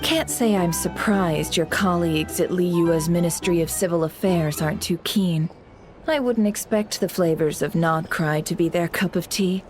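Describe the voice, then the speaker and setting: A woman playing a sly, smooth-talking businesswoman in a video game, coy, polite and measured. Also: on the low side, darkish tone, faint music behind, lightly acted. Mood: coy, teasing.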